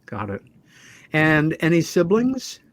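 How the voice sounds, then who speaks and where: A man hosting a talk show, speaking in a measured, deliberate, conversational way. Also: on the high side, huge pitch swings. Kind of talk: conversational show introduction and interviewing.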